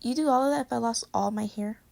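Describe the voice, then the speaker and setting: A woman's voice: a little high, fast, creaky, a little mellow. A woman playing a playful, affectionate girlfriend in a casual, intimate pillow-talk roleplay, teasing her partner. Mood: playful, curious. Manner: casual, teasing, conversational with giggles. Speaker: audio roleplay voice actor.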